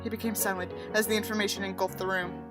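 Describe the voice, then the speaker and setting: A woman's voice: mid-range voice, flat delivery, quick pace, over music. A woman reading a book aloud and adding sarcastic, exasperated, emphatic asides of her own. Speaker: audiobook reader and commentator.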